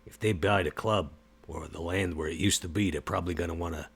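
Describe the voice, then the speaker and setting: Man playing a deep, gravelly, loyal vampire bruiser. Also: steady tone, a little fast, exaggerated voice. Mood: gruff, laid-back. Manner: gruff, laconic, folksy. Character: Max, gruff, loyal, easygoing male vampire bruiser.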